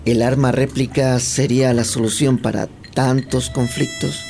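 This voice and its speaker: A man playing a weary, compliant, quietly resentful husband in a Spanish audio drama. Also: mid-range voice, flat delivery, a little slow, gravelly, faint music behind. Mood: tired, resigned. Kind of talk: Spanish audio fiction acting.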